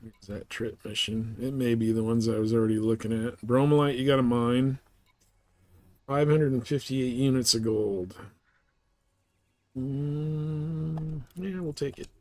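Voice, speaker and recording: Male game streamer, medium pitch, slangy and folksy, chatting casually to viewers while playing. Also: fairly even intonation, a little slow, darkish tone, no echo. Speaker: game livestream host.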